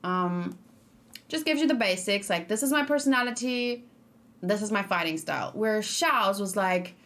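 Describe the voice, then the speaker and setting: Young female streamer, casual reaction chat, talks things through aloud, mid-pitched. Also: animated, moderate pace, a bit bright. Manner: chatty, thinks aloud, indecisive, reads chat messages. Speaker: streamer reacting to game trailers.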